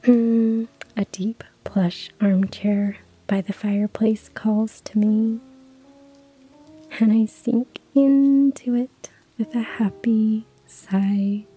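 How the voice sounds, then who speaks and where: A woman narrating a cozy story in a hushed ASMR whisper, with soft laughs. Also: on the high side, fairly even intonation, slow pace, husky, soft background music, rough recording.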